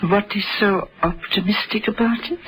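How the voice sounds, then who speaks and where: A woman playing a poised, seductive banker's wife in a radio drama, coolly formal and insinuating, with a husky voice. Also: mid-range voice, steady tone, normal speed, poor audio.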